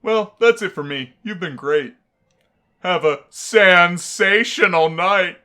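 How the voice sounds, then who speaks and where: A man's voice: sits high, slow, sharp tone, pitched above the performer's own voice, heavily acted. A man voicing a smug, laid-back skeleton comedian with a punning, showman-like delivery for a visual novel. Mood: jokey, breezy. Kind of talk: fan visual novel voice-over.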